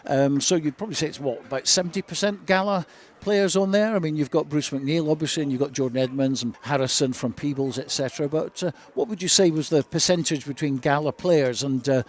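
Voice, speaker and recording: A man's voice: fairly high pitch, a little fast. A man presenting live post-match chat on sports radio.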